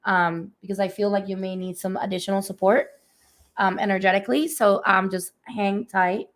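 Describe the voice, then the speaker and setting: A woman with a slightly hoarse voice giving a live spiritual reading, speaking softly and unhurriedly with many fillers. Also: mid-range voice, steady tone, moderate pace. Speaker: energy healer giving readings. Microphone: phone or webcam on a livestream.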